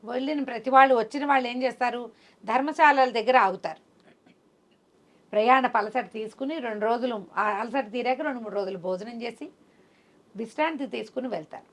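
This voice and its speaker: A woman's voice: fairly high pitch, fairly quick. A woman storyteller voicing a prince's loyal young male friend in a folk tale, reasoning things out helpfully.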